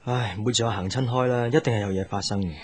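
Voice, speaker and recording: An actor playing a guarded, work-bound young boyfriend in a Cantonese radio drama. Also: male voice, medium pitch, fairly even intonation, fast, darkish tone, husky, lightly acted. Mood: tired, considerate.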